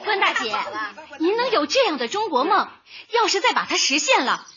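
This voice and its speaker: An actress playing an encouraging, effusive neighborhood committee director rallying residents at a meeting in a light comedy radio drama. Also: female voice, extremely high pitch, sing-song, moderate pace. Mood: upbeat, rallying. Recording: dull sound, faint noise, crowd behind.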